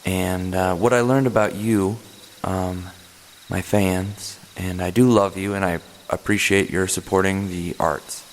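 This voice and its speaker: A man hosting a comedic one-man radio play, rambling and deadpan self-important, full of fillers. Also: low-pitched, measured pace, a little hiss or hum. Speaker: podcast host. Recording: long hall reverb.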